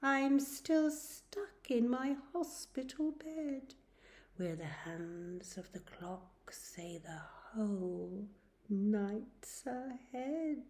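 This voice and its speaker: A woman reading poetry aloud in a measured, expressive and deliberate way. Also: on the high side. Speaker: poetry reader.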